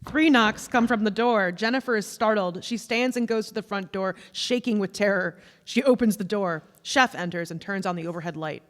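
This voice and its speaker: A woman's voice: mid-range voice, normal speed, few pauses. Woman reading stage directions in reverberant hall. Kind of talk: scripted narration at staged reading. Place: theatre stage. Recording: reverberant theatre hall recording.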